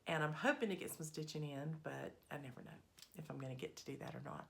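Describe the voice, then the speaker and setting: A woman hosting a needlework vlog, her voice still a little scratchy as it recovers, talking in an unhurried, friendly way with plenty of ums. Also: sits low, vocal fry, a bit bright. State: slightly scratchy voice, recovering from a cold. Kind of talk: casual chatty progress update.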